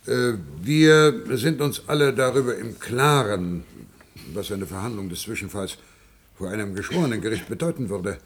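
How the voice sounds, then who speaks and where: A man's voice: fairly low pitch, moderate pace, breathy edge. An actor playing a hesitant, formal committee chairman in a radio play, officious and stammering. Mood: hesitant, anxious. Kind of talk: German radio play acting.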